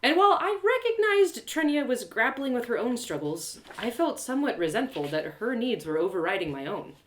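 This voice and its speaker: A woman reading a first-person fantasy story aloud as an expressive storyteller. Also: a little high, a little fast. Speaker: storyteller, audio drama writer and reader.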